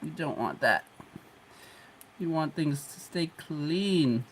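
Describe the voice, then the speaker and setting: A man's voice: on the high side, takes it slowly, poor audio. Man, congested, casual livestream host, rambling, chuckles, faint background noise. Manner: relaxed, rambling, chuckles often. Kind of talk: casual livestream talk while working.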